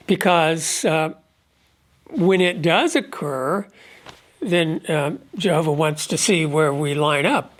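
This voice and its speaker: A man with a head cold and a stuffed-up voice, giving an unscripted religious commentary at a measured, halting pace, pausing often. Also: fairly high pitch, expressive. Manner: measured, halting delivery with frequent pauses. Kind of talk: unscripted monologue on prophecy.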